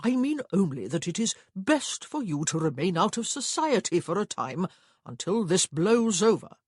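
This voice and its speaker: A woman's voice: lowish voice, sing-song, measured pace, slightly rough, dry recording, studio quality, heavily acted. A woman voicing a fussy, anxious upper-class brother in an audiobook, with an earnest, blustering manner. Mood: stern, agitated.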